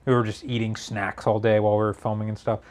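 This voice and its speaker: Casual man, slightly raspy. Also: fairly low pitch, flat delivery, fast, a little mellow. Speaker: show co-host reading viewer messages. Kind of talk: casual chat.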